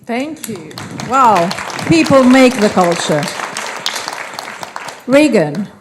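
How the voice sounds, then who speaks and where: Slow, slightly high woman chairing a panel. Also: sing-song, crowd noise, background noise. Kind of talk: moderating a panel.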